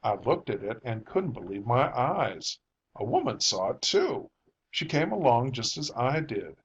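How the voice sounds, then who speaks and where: A man's voice: mid-range voice, a little slow, dull audio, a little hiss or hum. A man voicing a rattled son who tries to sound casual and unconcerned, in a dramatic audiobook reading. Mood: uneasy, feigned calm. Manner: shaky, casual, trying to sound calm. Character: shaken son feigning unconcern.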